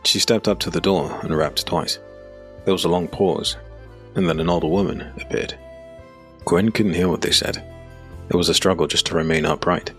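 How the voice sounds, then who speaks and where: A man reads dark fantasy narration for an audio drama. His voice is deep and low, and he tells the story from a script with music playing faintly underneath. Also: fairly even intonation, moderate pace, long pauses.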